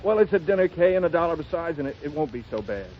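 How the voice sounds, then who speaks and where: Wanted: male actor for wisecracking, devoted, hard-up husband, lightish high voice, bantering with evasive stammers, muffled 1930s radio play. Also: animated, quick pace, slight background noise, lightly acted. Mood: sheepish.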